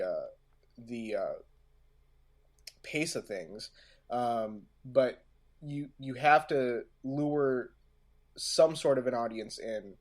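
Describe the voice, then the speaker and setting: Slow, halting man in casual discussion. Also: medium pitch. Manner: halting, searching for words, often repeating himself. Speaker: podcast co-host. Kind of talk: casual discussion of audio drama craft.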